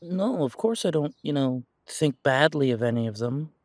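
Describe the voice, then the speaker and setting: A man playing an insecure, defensive werewolf in an audio roleplay, speaking in a halting, stammering way as if confiding in someone close. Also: a little high, animated, no room sound, lightly acted. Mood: worried, confiding. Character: insecure pure-blood male werewolf, self-doubting, defensive. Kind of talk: audio roleplay drama, one side of an intimate conversation.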